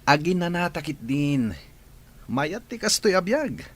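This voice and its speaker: A man acting as an easygoing, self-satisfied village fellow in an Ilocano radio drama. His Ilocano-accented voice is fairly high and husky, with big swings in pitch. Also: big pitch swings, measured pace, fairly bright tone, heavily acted. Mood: pleased. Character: easygoing village man, a schemer's pleased male companion.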